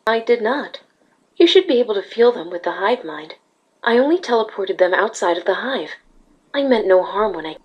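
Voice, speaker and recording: Regal pony ruler voiced by a woman: calm, measured, formal, with a breathy mid-range voice. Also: medium pitch, muffled recording. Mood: composed. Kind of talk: dramatic fan fiction reading, cartoon pony character.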